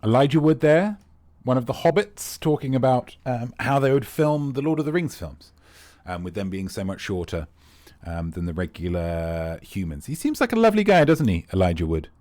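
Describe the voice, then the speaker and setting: A man's voice: medium pitch, very animated, normal speed, few pauses, darkish tone, no room sound. Rambling, playful man hosting a quiz. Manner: rambling, playful asides, teasing the players. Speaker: quiz host. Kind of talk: live streamed comedy quiz hosting.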